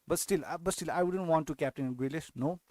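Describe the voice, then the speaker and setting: A man's voice: fairly high pitch, brisk, a little mellow, no echo. A young man from Nepal hosting a casual fantasy football live-stream Q&A, often restarting sentences and adding tag questions. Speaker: fantasy football channel host.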